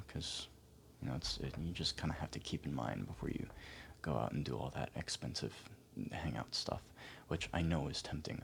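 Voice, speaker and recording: Very deep, hushed, creaky-voiced man. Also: slight background noise. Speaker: ASMR performer playing a financial advisor. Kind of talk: ASMR roleplay, soft-spoken one-sided client consultation.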